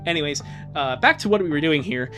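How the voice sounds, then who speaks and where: A man's voice: on the high side, expressive, fast talker, over music. A man streaming casually, giggly and joking, often breaking into laughter and mild swearing while he reads and comments. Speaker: streamer reading a fan comic aloud. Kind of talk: casual live stream chat and joking live reading.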